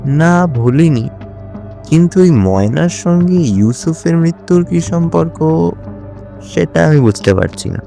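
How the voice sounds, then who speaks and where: A man's voice: fairly high pitch, animated, unhurried, creaky, mellow, soft background music. A male actor playing a puzzled, curious police officer in an audio drama, speaking in a questioning manner. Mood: puzzled, probing. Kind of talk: Bengali audio drama dialogue.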